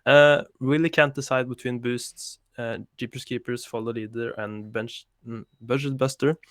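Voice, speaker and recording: A man hosting a podcast, his delivery level and flat. The recording is dry and close, with no room sound, and he stays relaxed and easy. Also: mid-range voice, normal speed, no echo. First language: Norwegian. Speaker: podcast host. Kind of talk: casual podcast chat, reading listener questions.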